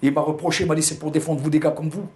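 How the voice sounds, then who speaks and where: A man's voice: a little high, little pitch movement, racing, a little mellow. An older man from Algeria with a pied-noir accent, telling his memories in an interview, hesitating and repeating words. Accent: pied-noir French. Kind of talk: recounting personal memories.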